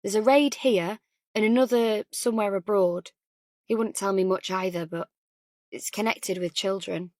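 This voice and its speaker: A woman voicing a tired, guarded new mother, measured and emotionally frayed, in an audiobook. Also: medium pitch, darkish tone, no room sound, clean studio sound, close to an everyday voice. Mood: calm. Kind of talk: audiobook character dialogue.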